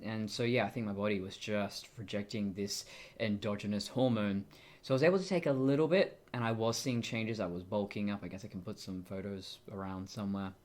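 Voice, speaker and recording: A young woman with a deep voice for a woman, talking casually and candidly to camera in a wry, self-interrupting way. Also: a little mellow. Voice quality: deep voice for a woman, deepened by testosterone. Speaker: vlogger. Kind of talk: casual personal monologue to camera.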